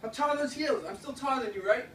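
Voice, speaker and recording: A man's voice: very high-pitched, fast talker. A young man playing a casual, obliging boyfriend in a comedy sketch. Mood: agreeable. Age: young adult. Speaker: comedy skit actor. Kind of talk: acted casual dialogue. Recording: echoey room with clear background noise.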